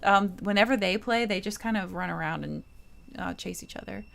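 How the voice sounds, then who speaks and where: Expressive woman streamer chatting casually. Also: mid-range voice, fairly quick, gravelly. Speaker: streamer and co-host. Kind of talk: casual livestream gaming chat.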